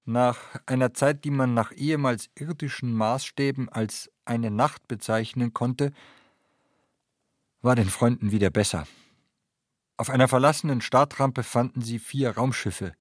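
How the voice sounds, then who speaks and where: A man's voice: medium pitch, unhurried, no echo, clean studio sound, natural voice. A man narrating a science fiction radio play as a matter-of-fact chronicler of a space voyage.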